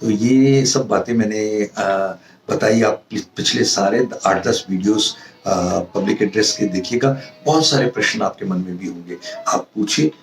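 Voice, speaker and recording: A man with an Indian accent giving an informal lesson to viewers as a professor, in a halting way that repeats phrases for emphasis. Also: fairly low pitch, gravelly. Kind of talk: informal instructional monologue to viewers. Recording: roomy echo, distant mic, music behind.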